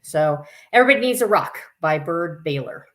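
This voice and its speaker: A woman's voice: deep voice, expressive, moderate pace. A woman hosting a live story time for children, reading aloud with informal, chatty asides. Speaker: camp staff story time host.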